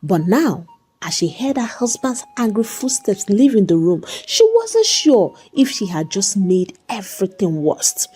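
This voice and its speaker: A woman's voice: medium pitch, big pitch swings, unhurried, dark tone, slightly rough. A woman narrating a scripted dramatic tale as a storyteller.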